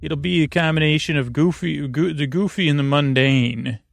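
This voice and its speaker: Creaky-voiced man rambling soothingly. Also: a little high, normal speed, no room sound, clean studio sound. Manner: rambling, meandering, often losing the thread and interrupting himself. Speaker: host of a sleep podcast. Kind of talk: soothing bedtime podcast monologue.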